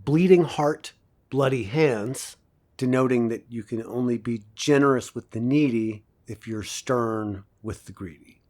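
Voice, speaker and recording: An actor playing a philosophical male professor lecturing a class in an audio drama, deliberate and rhetorical, with emphatic pauses. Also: medium pitch, a little mellow, lightly acted. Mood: didactic.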